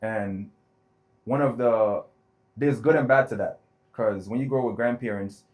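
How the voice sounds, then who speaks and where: A man speaks in a fairly low voice as a podcast guest. He works through his thoughts slowly and earnestly, reflecting on his upbringing in a conversational way. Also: darkish tone. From born in Florida, grew up in Jamaica. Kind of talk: personal storytelling in conversation.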